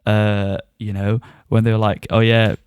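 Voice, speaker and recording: Low-voiced man chatting on a review podcast. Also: little pitch movement, unhurried, a little mellow, clear, resonant tone, no echo. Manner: chuckles often while talking. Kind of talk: casual chat review.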